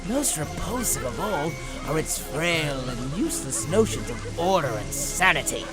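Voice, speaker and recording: A maniacal clown villain holds forth like a carnival barker in a radio play. His grandiose delivery is slow and swings wildly in pitch, over loud music. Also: big pitch swings, poor audio. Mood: mocking, gleeful. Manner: grandiose showman delivery, cackling. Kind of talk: fan radio drama acting.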